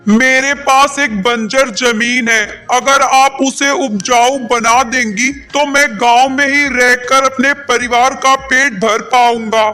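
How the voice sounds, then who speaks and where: A man's voice: very high-pitched, steady tone, takes it slowly, hardly any pauses, bright, forceful tone, smooth, exaggerated voice. A man voicing an honest, poor farmer bird and devoted husband in a moral-story cartoon, simple and earnest. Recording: music behind voice.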